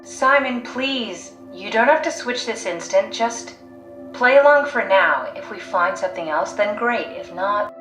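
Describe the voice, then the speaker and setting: A woman's voice: mid-range voice, animated, measured pace, a bit bright. A woman playing a pragmatic, driven, blunt scientist in a video game, analytical, matter-of-fact and persuasive. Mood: coaxing. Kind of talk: video game voice acting, naturalistic dialogue. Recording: echoey sound. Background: faint music.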